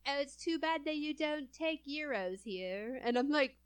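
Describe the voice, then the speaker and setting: A woman's voice: sits high, lively intonation, a little slow. A young adult woman from California chatting casually as a co-host, laughing often between phrases. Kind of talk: casual chat.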